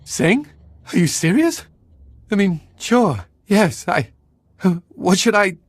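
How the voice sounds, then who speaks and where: An actor playing a terrified, cowering Sith acolyte held prisoner in a video game, nervous, stammering and pleading. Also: male voice, high voice, very animated, takes it slowly, no room sound, studio quality, heavily acted. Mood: flustered, nervous.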